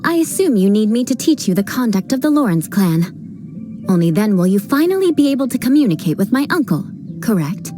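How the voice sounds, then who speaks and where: A woman's voice: on the high side, big pitch swings, brisk. An actress playing a proud young noblewoman knight captain who talks with dry haughtiness and vows vengeance over every little slight. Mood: wry. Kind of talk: video game voice acting. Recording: game dialogue with background music.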